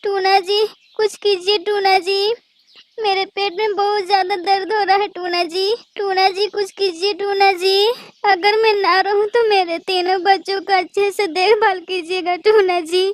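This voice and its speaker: A woman voicing a gentle, suffering mother bird in a Hindi cartoon, speaking plaintively. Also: very high-pitched, fairly even intonation, a little slow. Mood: pained, pleading. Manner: plaintive, repetitive.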